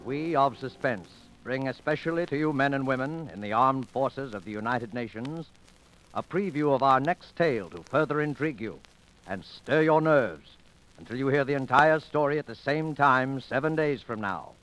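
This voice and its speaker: An actor playing an ominous mystery host, announcing formally and dramatically in 1940s radio style. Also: male voice, mid-range voice, lively intonation, normal speed, a bit bright, natural voice, rough recording. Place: radio studio.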